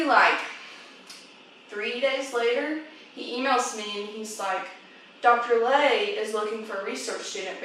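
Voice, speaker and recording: A woman's voice: on the high side, fairly bright tone. Young woman interviewed in very echoey room. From South Carolina, United States. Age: young adult. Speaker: interviewee, undergraduate research student. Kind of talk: casual interview answers. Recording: very echoey room, distant mic, faint noise.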